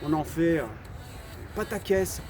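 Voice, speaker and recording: A man's voice: on the high side, unhurried. A French man of Algerian heritage giving his opinions in casual talk, hesitant, repeating words and often saying "voilà". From Algerian heritage, raised in France. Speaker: channel host giving opinions.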